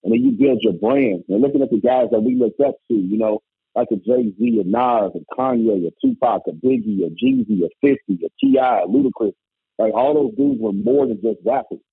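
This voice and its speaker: A loud, emphatic man from Indiana talks over a thin, phone-like line, his voice gravelly and husky with a dry, close sound. His pitch leaps around as he tells his story. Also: huge pitch swings, normal speed, no echo. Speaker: guest, former college basketball coach turned musician. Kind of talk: casual conversation.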